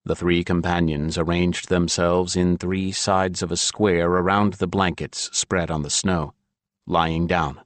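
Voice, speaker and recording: Male audiobook narrator, low voice, scripted fantasy storytelling, close dry recording. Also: little pitch movement, measured pace, dry recording.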